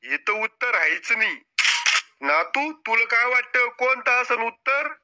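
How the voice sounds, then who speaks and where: A man's voice: expressive, measured pace, sharp tone, heavily acted. A man voicing a cunning, troublemaking old village grandfather in a cartoon, talking in a blunt, rustic, boastful way. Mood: mocking. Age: older. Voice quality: high squeaky cartoon voice. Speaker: game show contestant. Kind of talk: cartoon comedy acting.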